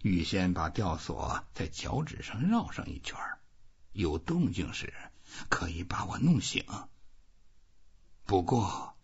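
A weathered old fisherman, as a male narrator puts him on, muses alone in a storybook reading. His voice is very husky and swoops in pitch as he murmurs to himself, with a slightly dull sound. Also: sing-song, slow, exaggerated voice. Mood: weary, resolved.

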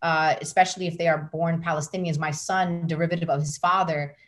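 A woman's voice: deep voice, steady tone, fairly quick. Emphatic woman on a video call. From United States. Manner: passionate, emphatic, repeats words when building a point. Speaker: guest. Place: home room with curtains behind. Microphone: laptop webcam on video call.

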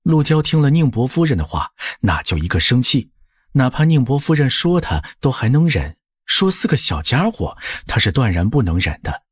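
A man narrating an audiobook as expressive scripted storytelling, with a husky voice. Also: medium pitch, normal speed, dry recording, clean studio sound.

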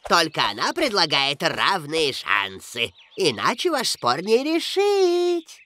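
A man voicing a hapless, greedy tour guide in an animated cartoon, whiny, theatrical and self-pitying. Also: a little high, takes it slowly, full character voice. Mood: sly. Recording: loud music under the voice.

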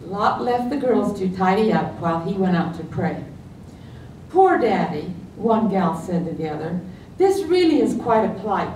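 Older female poet, playful slow delivery, animated pitch, distant roomy recording. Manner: lively, playful storytelling lilt. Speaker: poet at open mic. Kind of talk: humorous poetry reading. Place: library meeting room, at a podium. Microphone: stand mic, far camera. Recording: echoey room, distant mic, faint rumble.

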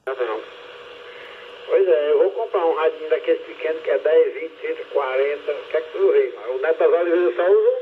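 A man's voice: fairly high pitch, fast talker. A man chatting casually over two-way radio, colloquial and often repeating his phrases. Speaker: amateur radio operator. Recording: narrow-band, noisy radio transmission.

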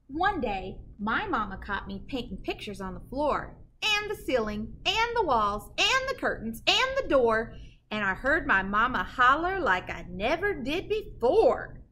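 A middle-aged woman reading a picture book aloud to children as a librarian, with animated, dramatic emphasis and a sing-song delivery. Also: a little high, slight background noise. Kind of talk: expressive children's read-aloud. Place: indoor library with bookshelves.

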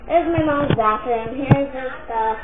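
A woman's voice: high voice, a little slow. A young girl giving a rambling, chatty tour of her family home, filmed on a handheld phone. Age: child. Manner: chatty, rambling, restarts sentences. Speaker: vlogger giving a house tour. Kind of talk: casual house tour. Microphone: handheld phone camera. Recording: tinny phone audio with loud noise.

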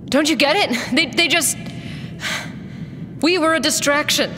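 A woman's voice: a little slow, bright, forceful tone. An actress playing a tough, sardonic female mercenary in an audio drama, dry and assertive. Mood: dismayed realisation. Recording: long reverb, faint rumble behind.

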